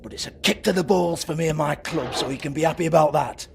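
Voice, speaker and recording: A man's voice: a little high, fast talker, vocal fry, background noise. A man playing a foul-mouthed, irritable British strip club owner in a video game, sarcastic and exasperated as he swears. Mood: bitter, indignant.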